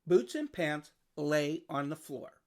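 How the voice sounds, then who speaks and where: A storybook narrator reading aloud for children. The voice sits at a medium pitch and has clear vocal fry in it. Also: expressive, slow, darkish tone. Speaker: storybook reader.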